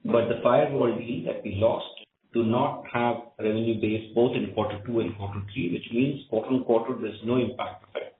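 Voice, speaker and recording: A man's voice: mid-range voice, fairly even intonation, moderate pace, a little hiss or hum. A man speaking as a company executive on an earnings call, explaining business results and often checking in with "right?". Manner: frequent "right?" check-ins. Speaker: company executive answering analysts. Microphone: conference call line. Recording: phone-like narrow band, echoey conference line.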